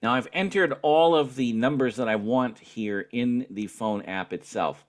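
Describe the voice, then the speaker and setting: A man giving a spoken tutorial as an instructor, explaining each step in a deliberate, patient way. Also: mid-range voice.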